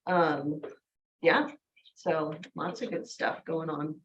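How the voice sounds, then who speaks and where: A woman hosting a casual office sales meeting, brisk and friendly, laughing often. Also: deep voice, dull audio. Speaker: meeting host.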